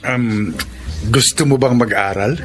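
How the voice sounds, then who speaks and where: Actor as gentle, bashful bachelor, mid-pitched. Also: male voice, a little slow, natural voice. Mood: gentle, teasing. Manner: hesitant, gentle. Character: kind, bashful long-single bachelor son and employer. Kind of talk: radio drama acting. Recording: music bed behind the voice.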